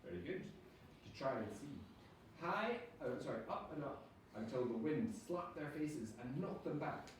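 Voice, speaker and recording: Male teacher, dramatic storybook read for children, lively pitch swings, heavy room echo with faint noise. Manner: dramatic, drawn-out storytelling delivery. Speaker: primary school teacher reading aloud. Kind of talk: expressive storybook reading to children. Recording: echoey room, distant mic, background noise.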